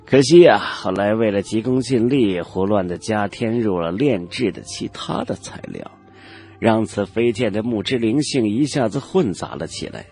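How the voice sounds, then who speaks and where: Guy doing a haughty old martial sage, low husky voice, talks down to you, mocking, fantasy audiobook. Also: expressive, a little mellow, faint music behind. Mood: regretful, knowing. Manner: measured, condescending, archaic speech. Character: old male cultivator elder in grey robe, haughty, knowing, mocking. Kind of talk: Chinese fantasy audiobook character acting.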